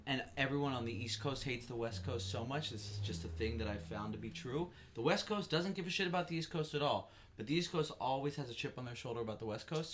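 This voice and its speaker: A man's voice: medium pitch, fairly bright tone, a little hiss or hum. A man from Philadelphia, a podcast guest and singer, chatting candidly with a joking, self-mocking manner on a headset mic in a podcast studio. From Philadelphia, USA. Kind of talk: casual chat.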